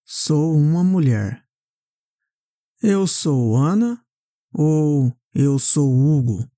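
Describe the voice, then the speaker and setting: A woman giving a language lesson as a repetitive question-and-answer drill, in deliberate, carefully separated phrases. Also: low-pitched, animated, mellow, dry recording, clean studio sound. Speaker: language teacher.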